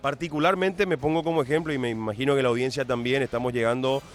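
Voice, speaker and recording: A man's voice: medium pitch, fairly even intonation, fast talker. A man hosting a radio show, introducing his guest with enthusiasm and asking long, wordy questions. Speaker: radio host. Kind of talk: radio interview.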